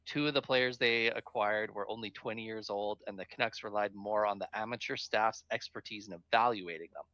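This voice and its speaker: Bright-voiced man reading hockey notes. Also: on the low side, fairly quick, no room sound, lo-fi sound. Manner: chatty, reads bullet notes aloud then comments. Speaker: co-host. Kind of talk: casual podcast chat, reading news notes.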